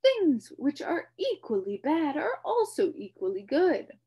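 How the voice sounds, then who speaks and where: A woman's voice: high voice, huge pitch swings, a little slow, mellow, pitched above the performer's own voice, exaggerated voice. A woman voicing a pompous, wordy male humbug bug who talks in grand, theatrical phrases.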